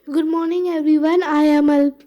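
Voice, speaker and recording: A woman's voice: high-pitched, flat delivery, moderate pace, mellow. A woman with an Indian accent giving a careful, recited speech to introduce an organization. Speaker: forum participant representing an organization.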